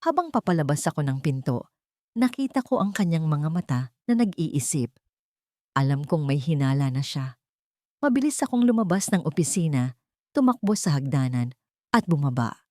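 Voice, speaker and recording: Female audiobook narrator, Filipino accent, low register, dramatic scripted first-person storytelling, very dry close sound. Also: big pitch swings, normal speed, mellow, no echo.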